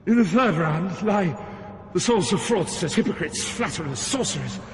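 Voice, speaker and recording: A man's voice: sits high, expressive, normal speed, noisy background. An actor playing a stern ghostly poet guide through Hell in a dramatic audio drama, grave and declamatory as he instructs. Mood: solemn, lecturing. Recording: long cavernous reverb, music underneath.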